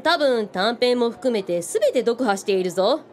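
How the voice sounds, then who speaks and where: Actress as a brash, lively tough-talking woman. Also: on the high side, normal speed, close to an everyday voice. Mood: opinionated, enthusiastic. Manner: blunt, teasing, casual rough wording. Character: tough, brash, bookish woman with blunt mannish speech. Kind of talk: comic radio drama dialogue.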